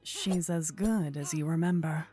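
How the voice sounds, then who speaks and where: A woman narrating a scripted second-person fantasy story in a soft, whispery ASMR style with measured delivery. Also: deep voice, soft background music. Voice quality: breathy, whispery. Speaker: narrator and voice actor.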